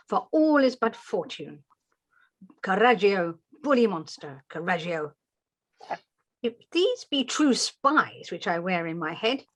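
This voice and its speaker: Woman reading as swaggering Shakespearean butler, mid-pitched. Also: fairly bright tone. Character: tipsy swaggering male butler in Shakespeare comedy. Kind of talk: unrehearsed Shakespeare play reading.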